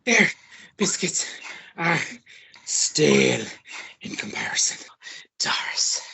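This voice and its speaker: A woman's voice: low voice, lively intonation, slow, vocal fry, sharp tone, whispered, full character voice. A woman playing a hapless, flustered man in a radio play, hesitant and stammering. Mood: enraptured. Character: flustered, hapless man caught with his lover.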